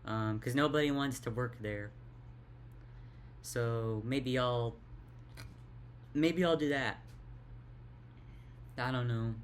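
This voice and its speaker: A young woman with a deep voice talks in a tired, halting way, stuttering and repeating words in a casual confessional vlog. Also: slight background noise. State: tired, worn out. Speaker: vlogger.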